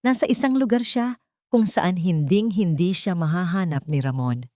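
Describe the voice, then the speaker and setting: A woman's voice: lowish voice, moderate pace, mellow, no room sound, clean studio sound, natural voice. A woman voicing a wary, suspicious man in a story, terse and cold in his questioning. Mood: guarded.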